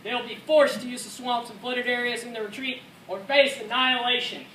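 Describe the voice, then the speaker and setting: A man's voice: very high-pitched, fairly even intonation, a little fast, pushed and bright. A man playing a dutiful American Second World War paratrooper, recounting combat in an earnest, rehearsed dramatic narration. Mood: sober, matter-of-fact. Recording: echoey room from far off, faint noise.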